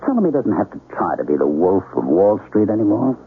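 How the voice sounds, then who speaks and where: An actor playing a serene, mysteriously knowing man in a 1970s radio drama, speaking in insistent, deliberate short phrases. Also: lowish voice, sing-song, slight background noise. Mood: gently insistent. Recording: dull lo-fi old radio broadcast.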